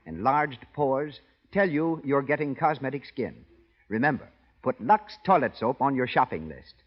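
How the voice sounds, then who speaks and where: A man's voice: on the high side, breathy edge. A man reading a scripted 1930s radio advertisement as announcer, with polished, persuasive salesmanship. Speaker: commercial announcer. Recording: muffled old radio broadcast recording.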